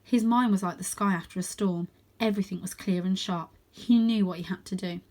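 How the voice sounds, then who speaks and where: A woman with a mid-pitched voice reads a children's story aloud. She delivers it as scripted narration, as a storybook reader. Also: fairly even intonation, brisk, darkish tone.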